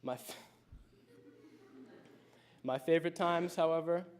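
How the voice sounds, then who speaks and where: Reflective teenage boy reading a speech. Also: a little high. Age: late teens. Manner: reflective, measured storytelling. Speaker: high school senior giving a speech. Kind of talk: prepared personal speech.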